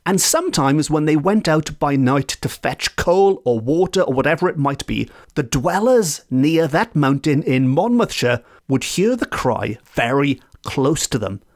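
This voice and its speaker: A man's voice: fairly high pitch, measured pace, hardly any pauses. A man from Wales who speaks Welsh, hosting a folklore podcast from home and telling the tale with playful asides. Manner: lively, animated storytelling with playful asides. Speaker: podcast host. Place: home in a residential area.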